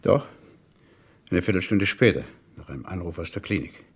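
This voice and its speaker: An actor playing a guarded medical professor in a German radio crime drama, formal and measured, with a rough, gravelly voice. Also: male voice, low-pitched, animated, full character voice.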